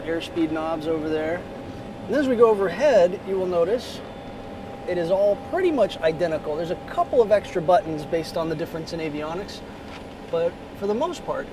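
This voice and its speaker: A man's voice: fairly high pitch, moderate pace. A man in his thirties, a pilot giving a casual video tour of a small jet cockpit, talking in an easygoing way with many fillers, recorded on a camera held at arm's length. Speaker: corporate pilot vlogger. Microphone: phone or camera held at arm's length. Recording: noisy airport background.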